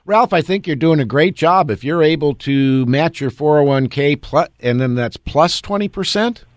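A man's voice: on the high side, lively intonation, moderate pace, no echo, studio quality. A man in his sixties, an attorney hosting a call-in radio advice show, upbeat and folksy with easy jokes. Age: 60s.

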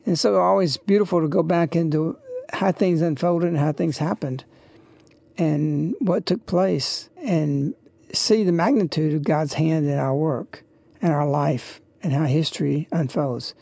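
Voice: mid-range voice, unhurried, creaky, mellow, no echo. A radio host, talking informally and reverently in a rambling devotional introduction to a broadcast.

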